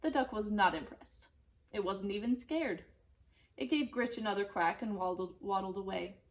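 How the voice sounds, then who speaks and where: A woman narrating a children's storybook in an expressive, deliberate and dramatic way. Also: mid-range voice. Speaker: storybook reader.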